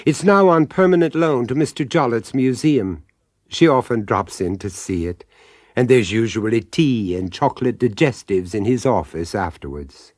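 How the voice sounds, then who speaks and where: An older man hosting and narrating a radio horror drama, relishing its dark irony with sly emphasis. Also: medium pitch, lively intonation, normal speed, dry recording, studio quality. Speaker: host and narrator.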